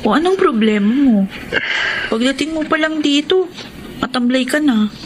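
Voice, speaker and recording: An actress playing a tender, understanding young college girlfriend in a Tagalog radio drama, expressive and soft-hearted. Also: sits high, moderate pace, a little hiss or hum. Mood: concerned, tender.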